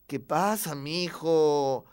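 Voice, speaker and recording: A man's voice: fairly high pitch, extremely slow, clean tone. A man with a Mexican accent playing a firm, proud father who speaks emphatically to his young son. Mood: firm, reassuring.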